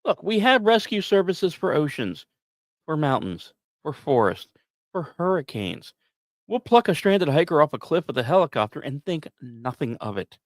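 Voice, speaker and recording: A man hosting a podcast, delivering scripted storytelling narration with dramatic emphasis and deliberate pauses. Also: a little high, very animated, dry recording. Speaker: podcast host.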